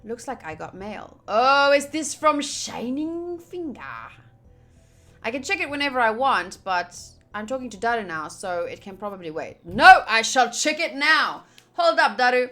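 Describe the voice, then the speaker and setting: A woman's voice: on the high side, big pitch swings, moderate pace, sharp tone. A woman streamer chatting to viewers and reading game text aloud as live commentary. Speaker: streamer playing a visual novel. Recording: game music behind the voice.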